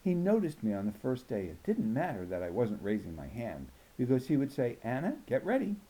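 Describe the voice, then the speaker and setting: A man reading a children's novel aloud in an expressive storytelling style. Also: on the low side, brisk, rough recording. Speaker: audiobook reader.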